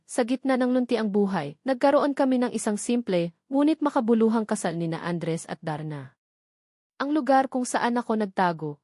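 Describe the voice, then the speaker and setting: A woman's voice: medium pitch, a little mellow, no room sound, studio quality. A woman reading a scripted dramatic story in an earnest, sentimental manner. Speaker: story narrator.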